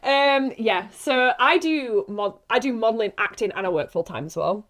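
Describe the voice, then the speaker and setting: A woman's voice: a little high, lively intonation, moderate pace, fairly bright tone. A woman, an actor and model, chatting casually in an interview.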